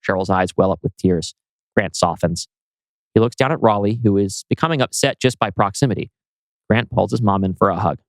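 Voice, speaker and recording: A man's voice: fairly low pitch, fairly quick, no echo, low-quality recording. A man reading scripted screenplay narration as writer and narrator.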